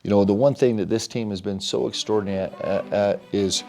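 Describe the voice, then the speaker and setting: A middle-aged man, a basketball coach, reflecting on his team's losses in a halting, thoughtful way with many restarts. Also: on the low side, steady tone, gravelly, over music. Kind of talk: post-game interview.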